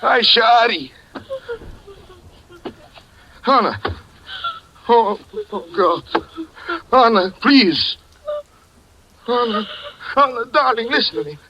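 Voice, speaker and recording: A haunted, desperate storyteller. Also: male voice, extremely high pitch, expressive, slow pace, long pauses, sharp tone, dull audio, slight background noise, heavily acted. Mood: pleading. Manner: intense, breathless dramatic narration. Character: haunted man recounting his own murder, desperate. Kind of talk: 1940s radio acting.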